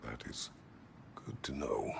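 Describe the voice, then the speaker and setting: An actor playing a gruff, stoic aging warrior god in a video game, terse and stern, with a very deep gravelly voice. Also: male voice, slow pace, whispered, full character voice. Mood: grave resolve. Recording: loud music behind.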